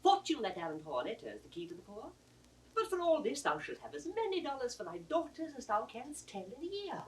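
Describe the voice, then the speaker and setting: An actor playing a witty, loyal court fool, riddling and teasing in a singsong classical stage manner. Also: male voice, very high voice, huge pitch swings, brisk, heavily acted. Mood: mocking singsong. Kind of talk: classical Shakespearean stage acting. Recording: distant, hissy 1960s audio drama recording.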